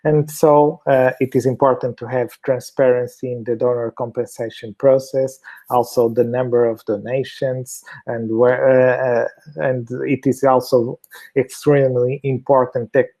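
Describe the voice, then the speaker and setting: A man's voice: mid-range voice, little pitch movement, measured pace, warm tone. A man with a Portuguese accent giving a webinar presentation over a video call, hesitant, with many fillers and restarts. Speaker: fertility clinic doctor, webinar speaker.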